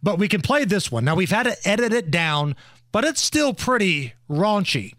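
A man's voice: sits high, big pitch swings, normal speed, fairly bright tone, no echo, clean studio sound. A man hosting a talk radio show with opinionated, emphatic commentary.

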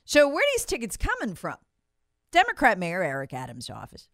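A woman's voice: medium pitch, wildly swooping pitch, fairly quick, gravelly, a bit bright, no echo, studio quality. A woman hosting opinionated political commentary, speaking sarcastically and emphatically, repeating phrases for effect and acting out quotes in a conversational way.

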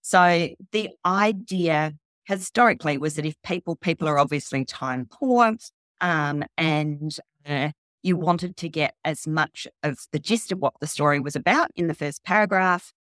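Casting: woman, low voice, interview guest, journalist explaining her craft with asides, close dry sound. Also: moderate pace, a bit bright, dry recording. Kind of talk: conversational interview answers.

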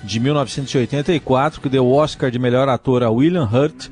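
A man's voice: medium pitch, fairly even intonation, fast, darkish tone, faint music behind. Man presenting a culture news item. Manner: informative, with tag questions like "né". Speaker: radio co-host.

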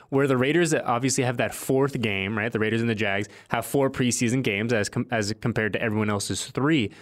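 A man hosting a sports podcast in an upbeat, conversational style, speaking emphatically and repeating phrases to drive points home. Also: medium pitch.

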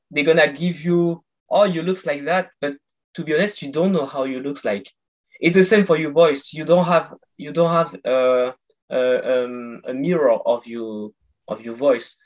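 Slow-paced man with non-native English accent. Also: a little high, low-quality recording. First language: not English. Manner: halting, explanatory, searching for words. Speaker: interview guest, voice coach. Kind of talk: conversational interview.

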